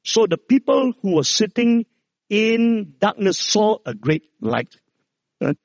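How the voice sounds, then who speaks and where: A middle-aged preacher with a loud, high-pitched voice delivers a Christmas sermon. He tells stories and drops low to stress key words. The recording is dry and somewhat muffled. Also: dry recording. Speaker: pastor. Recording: dull, dry lo-fi sound.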